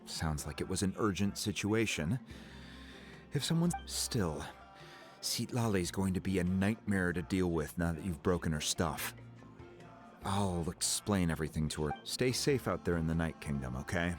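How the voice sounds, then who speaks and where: Low-voiced, steady mentor played by a man. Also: normal speed, faint music behind, natural voice. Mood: concerned. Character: steady, fatherly older-brother type mentor, gently wry. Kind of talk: English game voice acting.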